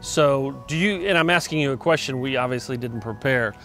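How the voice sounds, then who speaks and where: Older man hosting, easygoing interviewer, mid-pitched voice, outdoors on a lapel mic. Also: fairly quick, a bit bright, faint music behind. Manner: agreeable, prompting questions. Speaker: realtor and show host. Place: outdoors at a waterfront construction site.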